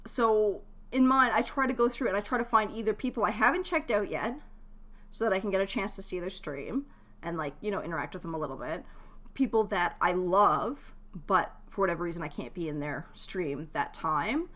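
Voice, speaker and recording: A woman's voice: mid-range voice, moderate pace, fairly bright tone. A woman chatting casually and giving advice to viewers as a live game streamer, in a chatty, informal way.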